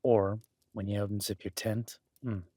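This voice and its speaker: A man playing a kind, protective woodsman in an intimate roleplay audio drama, speaking in an unhurried, reassuring and wryly teasing way with a husky voice. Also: fairly low pitch, level tone, no room sound, studio quality. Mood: easygoing, warm. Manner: soft-spoken, unhurried, reassuring, wryly joking. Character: gruff-kind woodsman host, gently teasing, protective cabin dweller.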